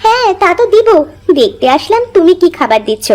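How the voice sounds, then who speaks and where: A boastful young village wife from a cartoon , acted by a woman. Her voice is shrill and very high, swinging widely as she taunts with haughty spite. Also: very animated, quick pace, darkish tone. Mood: taunting, smug. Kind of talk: cartoon voice acting.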